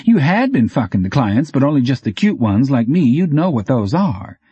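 A man's voice: mid-range voice, sing-song, normal speed, dark tone, breathy edge, no echo, highs cut off, pitched above the performer's own voice. A man voicing a brash, teasing bike courier with slangy, clipped, needling talk in an audiobook. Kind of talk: audiobook character dialogue.

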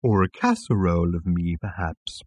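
A man voicing a large, fat, obliging talking cow in an audiobook, with a contented, deferential, lowing delivery. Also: normal speed, slightly rough, no echo. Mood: contented, inviting. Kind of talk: audiobook comic science fiction character.

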